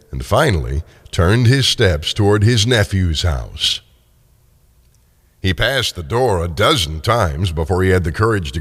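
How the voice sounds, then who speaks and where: A man's voice: on the low side, fairly bright tone. An older man narrating a classic tale as a dramatic storyteller.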